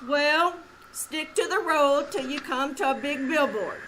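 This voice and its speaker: Actress as an earnest folksy bus driver lad, high, bright, apologetic, old-time radio comedy. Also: female voice, measured pace, poor audio. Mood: earnest, helpful. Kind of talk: 1940s radio comedy acting. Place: small theater stage. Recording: live stage performance with background noise.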